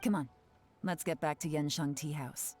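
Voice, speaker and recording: A woman playing a shrewd, composed investigator in a video game, speaking in a measured, confident, analytical way. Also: deep voice, lightly acted. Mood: composed, resolute. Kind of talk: English video game voice acting. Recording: soft game music behind.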